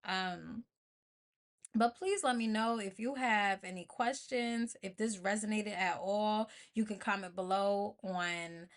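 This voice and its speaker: An American woman with a medium-pitched voice hosts a solo motivational podcast. Her delivery is informal and colloquial. She leans on emphasis and repetition to press each point. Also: slow. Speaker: podcast host.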